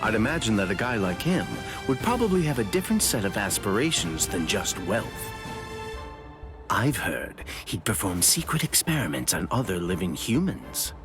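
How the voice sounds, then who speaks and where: A man's voice: fairly low pitch, sing-song, moderate pace. Sly, wildly swooping man. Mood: sly musing. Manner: teasing, drawling, mock-innocent. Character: sly, playful man gossiping with theatrical curiosity. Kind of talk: anime video game voice acting. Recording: music behind. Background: background music.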